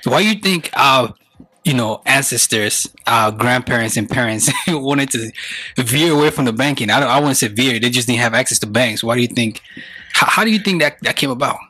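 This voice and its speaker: A man from Cameroon hosting a podcast, asking questions in an energetic, casual way with slang. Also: medium pitch, normal speed, husky, no echo. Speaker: podcast host. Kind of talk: podcast interview.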